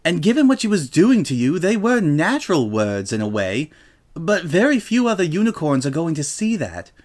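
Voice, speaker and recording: A genteel lady unicorn from a cartoon story, acted by a man. The voice is fairly high, with prim, precise phrasing and a formal, refined manner. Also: very animated, moderate pace, darkish tone. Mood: soothing, genteel.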